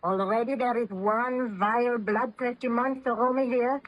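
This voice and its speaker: A woman's voice: on the high side, steady tone, normal speed, no room sound, muffled recording, natural voice. A woman playing a devoted, anxious young wife in earnest, melodramatic 1930s radio style. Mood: alarmed, reproachful.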